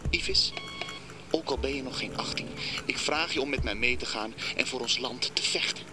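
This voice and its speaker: A man's voice: medium pitch, normal speed, very noisy, lightly acted. An actor playing a solemn father rallying his child to war in an audio drama. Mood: solemn, pleading. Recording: loud music bed under dialogue.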